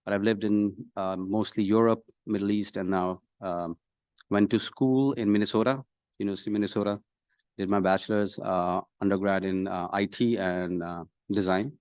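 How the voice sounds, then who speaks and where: Low-voiced middle-aged man, halting speaker. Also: fairly even intonation, a little mellow, clean tone, dry recording, clean studio sound. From Pakistan. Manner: halting, pausing often mid-phrase. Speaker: city council candidate. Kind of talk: self-introduction at a public meeting. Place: council meeting room, speaking at a podium.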